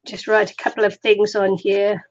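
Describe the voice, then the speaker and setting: A woman's voice: medium pitch, completely flat delivery, moderate pace, muffled recording. An older woman from the Welsh borders, chatty and self-deprecating, rambling through a casual craft tutorial. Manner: chatty, rambling, laughs often. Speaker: crafter streaming a zine tutorial.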